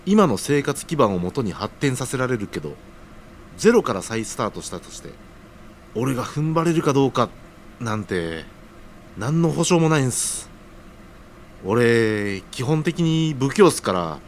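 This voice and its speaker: A man's voice: mid-range voice, sing-song, moderate pace, long pauses, slight background noise, close to an everyday voice. A man acting an earnest, humble young junior worker in an audio drama, speaking casually but deferentially. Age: young adult.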